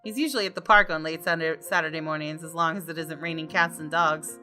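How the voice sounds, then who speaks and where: A fairly low, bright female voice over game music. She is a streamer reading a visual novel's narration aloud, expressively and with some drama. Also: fairly even intonation, quick pace. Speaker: gaming streamer. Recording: music behind the voice.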